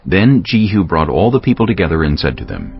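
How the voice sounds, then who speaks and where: A man's voice: low-pitched, very animated, brisk, creaky, slightly rough. A man narrating a dramatized scripture audiobook in a storytelling, scripted style. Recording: slightly dull audio, music behind. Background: background music.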